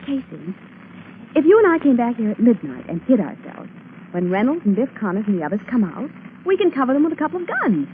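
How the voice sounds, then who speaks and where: A woman's voice: on the high side, very animated, fast talker. An actress playing a spirited, playful female sidekick to a crime photographer in a 1940s radio drama. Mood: curious, eager. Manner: playful, wry, eager. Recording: thin, lo-fi 1940s radio with hiss.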